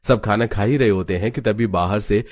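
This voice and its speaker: A man's voice: on the low side, normal speed, darkish tone, no room sound. A man giving scripted narration of a story.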